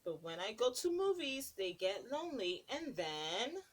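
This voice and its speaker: A woman's voice: a little high, sing-song, a little slow. A woman preschool teacher reading a picture book aloud to young children, stopping to ask them questions. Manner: sing-song, asks children questions between pages.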